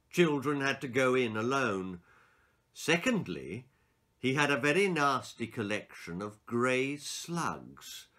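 Man in his sixties, children's storyteller, theatrical emphasis, lively swooping pitch, bright forceful tone. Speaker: author reading his own children's stories. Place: small book-lined room indoors.

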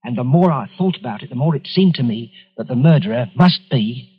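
A man's voice: mid-range voice, expressive, brisk, breathy edge. An actor playing a meek, troubled travelling salesman accused of murder in an old radio drama, hesitant, polite and brooding. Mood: agitated, insistent. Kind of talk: 1940s radio drama acting. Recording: muffled old radio recording.

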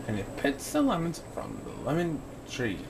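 A young man reads a children's picture book with a very animated, swooping delivery. He playfully performs the sound effects. There is clear background noise from a home recording. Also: a little high, moderate pace. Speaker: storyteller reading a picture book. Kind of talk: children's read-aloud narration.

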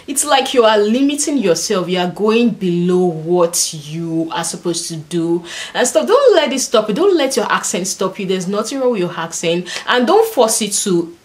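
A young woman with a Nigerian accent, talking casually to camera in a small bedroom, chatty and animated, with lots of "like" and "and stuff.". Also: mid-range voice, normal speed. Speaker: vlogger.

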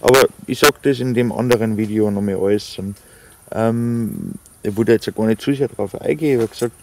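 A man's voice: on the low side, fairly quick, darkish tone. A man, talking casually to camera and his dog while camping in a forest, rambling with many fillers. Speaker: outdoor vlogger. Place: forest camp at night, outdoors.

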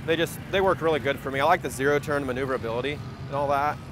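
A man's voice: mid-range voice, very fast, background noise. A man giving a casual testimonial in an outdoor interview. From Nebraska, United States. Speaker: lawn care business attendee. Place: outdoors at a trade expo. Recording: outdoor interview with faint music.

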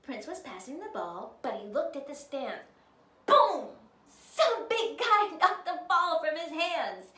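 A woman in early middle age reading a children's picture book aloud in an expressive, theatrical style. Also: high voice, slow pace. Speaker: children's storyteller. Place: living room by a fireplace. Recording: roomy, slightly distant, faint noise.

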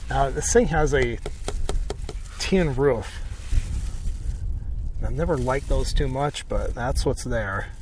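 A man's voice: mid-range voice, takes it slowly, pauses often, darkish tone, recorded from a distance, a little hiss or hum, low-quality recording. A man giving a casual painting tutorial as an instructor, thinking aloud informally in short phrases.

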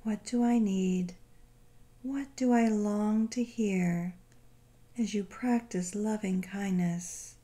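Slow, mellow woman guiding a meditation. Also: medium pitch. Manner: gentle, unhurried, soothing delivery with long pauses. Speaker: meditation guide. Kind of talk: guided meditation narration.

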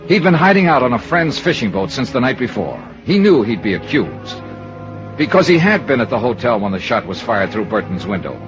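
A man's voice: medium pitch, natural voice. An actor playing a tough, wry, terse American male investigator who narrates his case in a radio detective drama. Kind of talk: 1950s radio detective acting. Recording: dull old radio recording, music bed.

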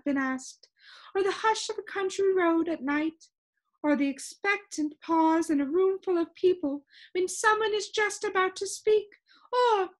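A woman voicing a gentle, talkative, dreamy lady who adores silence, in a soft singsong storybook voice. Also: very high-pitched, voice pushed up, low-quality recording. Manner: wistful, genteel, chatty.

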